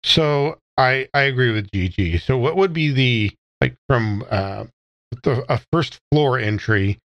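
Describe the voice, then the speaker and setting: A man's voice: medium pitch, animated, a little slow, fairly bright tone, no room sound, muffled recording. A man playing a tabletop game, talking casually as he describes his character's moves.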